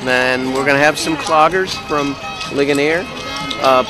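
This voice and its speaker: Man, higher-pitched, halting and jokey local MC, casual outdoor chat with music behind. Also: fairly even intonation, a crowd in the background, rough recording. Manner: rambling, joking, halting. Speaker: MC and local TV show personality. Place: outdoors at a park gravesite.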